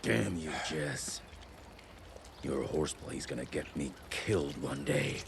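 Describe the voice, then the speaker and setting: An actor playing a gruff, blunt male monster hunter who swears, in a game cutscene. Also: very deep voice, takes it slowly, background noise, heavily acted. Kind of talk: video game cutscene acting.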